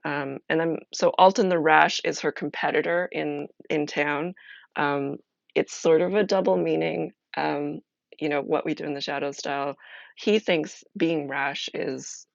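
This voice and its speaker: A woman streamer chatting casually as she explains a solo role-playing game, halting and thinking aloud. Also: sits low, fairly even intonation, vocal fry, dry recording, muffled recording.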